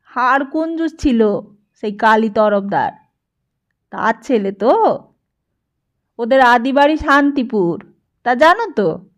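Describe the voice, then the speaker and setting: Woman voicing a chatty, high-pitched old male priest. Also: expressive, slow pace, pauses often. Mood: rambling, gossipy. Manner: rambling, chatty, question after question. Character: garrulous, nosy old male village priest, imposing and familiar. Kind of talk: dramatised audiobook dialogue.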